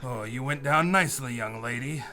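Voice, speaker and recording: An actor in a radio play voicing a masked vigilante hero, firm, deliberate and commanding. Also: male voice, mid-range voice, over loud music, lightly acted. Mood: firm, urgent.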